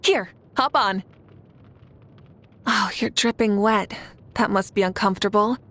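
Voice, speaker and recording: A woman voicing a confident, warm warrior leader in a video game, speaking with composed, articulate assurance. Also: mid-range voice, a little slow, close to an everyday voice. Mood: relaxed, friendly. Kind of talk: English video game character voice lines.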